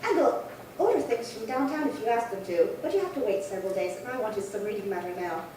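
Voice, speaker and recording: A woman's voice: on the high side, animated, quick pace, darkish tone, close to an everyday voice. A woman playing a chatty, dithering elderly single lady in an old-time radio drama, rambling politely and fussily. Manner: rambling, fussy, politely fluttery. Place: live theatre performance space. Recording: very echoey hall, distant, faint noise.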